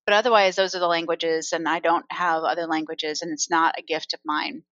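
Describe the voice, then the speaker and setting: Low-voiced American woman, reflective. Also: little pitch movement, fairly bright tone, dry recording, muffled recording. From United States. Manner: reflective, unhurried, many "you know" fillers. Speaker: interview guest, author and women's empowerment coach. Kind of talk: conversational interview answers.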